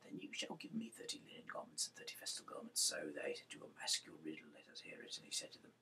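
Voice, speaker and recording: Very deep, gravelly man reading haltingly. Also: little pitch movement, bright, forceful tone, distant mic, a little hiss or hum. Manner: halting, stumbling delivery with restarts. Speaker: reader, host of a Bible-reading series. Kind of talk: amateur scripture read-aloud.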